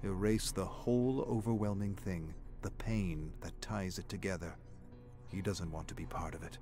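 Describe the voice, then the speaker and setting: A man giving measured, theatrical narration of a dark, dramatic scripted story. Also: deep voice, fairly even intonation, soft background music.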